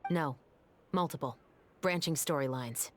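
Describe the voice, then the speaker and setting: A woman's voice: low-pitched, measured pace, faint music behind. An actress playing a stern, authoritative female police captain in a video game, speaking formally and firmly. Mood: cool, measured. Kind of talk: video game cutscene voice acting.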